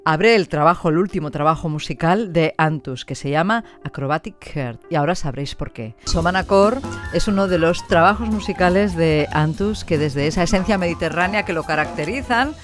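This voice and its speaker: A woman's voice: on the low side, expressive, fairly quick, faint music behind. A woman with an accent from Spain, presenting a radio interview as host. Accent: Spanish, from Spain. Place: radio studio.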